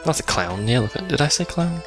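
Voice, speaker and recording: A man's voice: a little high, sing-song, quick pace, over music. A man giving casual, dry and sarcastic commentary on a video game he is playing. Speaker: let's play commentator.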